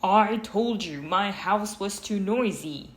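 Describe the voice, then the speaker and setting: A woman's voice: medium pitch, takes it slowly, pitched above the performer's own voice, lightly acted. A woman voicing an exasperated, angry storybook man who complains emphatically in a sing-song list. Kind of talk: storybook read-aloud character. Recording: roomy, noticeable background noise.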